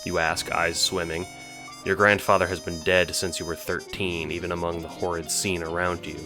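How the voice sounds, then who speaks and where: A man's voice: low-pitched, flat delivery, moderate pace. A man narrating an audio drama in a dramatic, scripted storytelling style, with music behind him.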